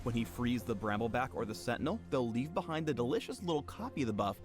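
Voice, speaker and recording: Male guide narrator, animated and wry, scripted delivery over background music. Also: mid-range voice, quick pace. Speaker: narrator of a champion guide video. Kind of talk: scripted upbeat explainer narration.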